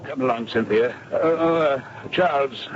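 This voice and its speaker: Actor as a soothing, muffled aristocratic husband. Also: male voice, medium pitch, unhurried, natural voice. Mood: courteous, firm. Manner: soothing, courteous. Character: gentle, protective aristocratic husband and uncle. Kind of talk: 1970s radio mystery acting. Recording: muffled, noisy 1970s radio recording.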